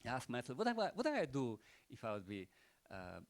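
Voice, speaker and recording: A man's voice: mid-range voice, huge pitch swings, brisk. An older man with a Croatian accent giving rambling, unscripted closing remarks at a panel event, full of rhetorical questions and "you know".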